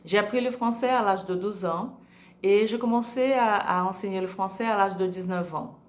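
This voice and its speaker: A woman's voice: medium pitch, normal speed. A Brazilian woman university professor introducing herself in French with a Brazilian accent, passionate, with small hesitations. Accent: Brazilian Portuguese. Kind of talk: self-introduction.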